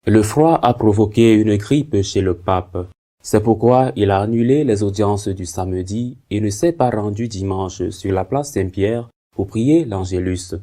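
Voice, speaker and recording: Low-pitched male news presenter. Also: a little slow, mellow. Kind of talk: scripted news narration and editorial.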